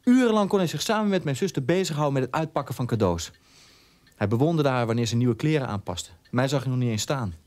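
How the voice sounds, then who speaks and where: An actor playing a bitter, neglected German son in a radio drama, in a halting, conversational monologue. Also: male voice, medium pitch, expressive, darkish tone, lightly acted. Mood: bitter, hurt. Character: bitter German son born 1960, neglected by his father.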